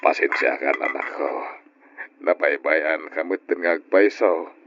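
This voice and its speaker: A man playing a middle-aged, hard-drinking widowed father in an old radio drama, with a drawn-out, emotional delivery. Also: extremely low pitch, fairly bright tone, a little hiss or hum, full character voice. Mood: tender, apologetic. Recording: dull, lo-fi old radio recording.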